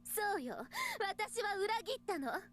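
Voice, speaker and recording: A woman voicing a cruel young female mastermind in a Japanese visual novel, gloating with a breathy, drawn-out taunting purr. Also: very high voice, animated, fairly bright tone. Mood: gloating, amused.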